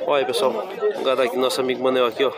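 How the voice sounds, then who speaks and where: A man's voice: medium pitch, little pitch movement, racing. A man from Northeast Brazil filming an outdoor cattle market on his phone, chatting casually to viewers and repeating prices. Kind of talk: casual walk-through commentary. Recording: loud crowd and outdoor noise.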